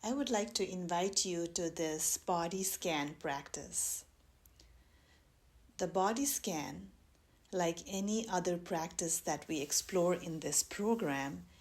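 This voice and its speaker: A woman teaching mindfulness, guiding a meditation in a gentle, measured way with long pauses between phrases. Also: fairly low pitch, gravelly. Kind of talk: guided meditation instruction.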